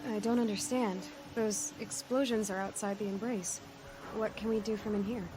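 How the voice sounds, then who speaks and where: Young huntress acted by a woman, defiant, earnest, medium pitch, game cutscene, noisy ambience behind. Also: fairly even intonation, normal speed, lightly acted. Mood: calm, puzzled. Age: young adult. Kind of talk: video game cutscene voice acting.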